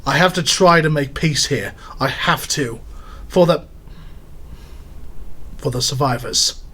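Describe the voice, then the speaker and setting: Man as a tense British working man, higher-pitched. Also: takes it slowly, bright, forceful tone, slightly rough, heavily acted. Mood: nervous resolve. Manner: earnest, nervous. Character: anxious, loyal working-class man, stubbornly principled. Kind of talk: audio drama acting.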